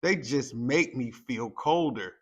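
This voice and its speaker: A man's voice: medium pitch, slow pace, a bit bright, slightly rough. Man as grumbling, scornful naughty child. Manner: muttering, scornful complaining. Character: grumbling, neglected naughty children trying to seem tough.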